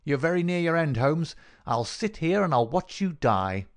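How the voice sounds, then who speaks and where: Man acting a gloating, cruel villain, swooping pitch. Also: very animated, moderate pace. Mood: triumphant, venomous. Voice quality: dry, rasping. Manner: sneering, mock-solicitous. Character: gloating, cruel murderer taunting his dying victim. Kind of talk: dramatic audiobook character reading.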